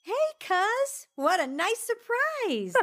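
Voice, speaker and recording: A woman's voice: very high voice, big pitch swings, slow pace, a bit bright, no echo, studio quality, heavily acted. Earnest born-again woman telling her story. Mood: surprised, chatty. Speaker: lead actress and first-person narrator. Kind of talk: dramatized radio testimony narration.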